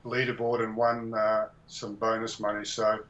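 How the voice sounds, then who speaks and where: A man's voice: lowish voice, monotone, moderate pace, a bit bright, a little hiss or hum. A middle-aged retired man giving a plain, modest testimonial with hesitant fillers. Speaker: course student. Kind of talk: testimonial on a call. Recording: dull lo-fi call audio.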